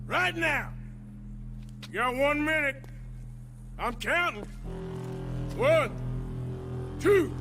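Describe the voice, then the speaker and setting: An actor playing a gruff, sarcastic middle-aged outlaw gunslinger with a drawl, in a video game cutscene. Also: male voice, very high-pitched, heavily acted. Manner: grumbling, sarcastic. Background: game score music.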